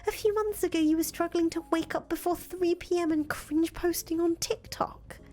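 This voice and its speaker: A woman's voice: extremely high pitch, fairly even intonation, normal speed, warm tone, voice pushed up. A woman voicing an incredulous, sarcastic young woman in a visual novel, exasperated and foul-mouthed. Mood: mocking. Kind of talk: visual novel character voice reading. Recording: music playing behind the voice.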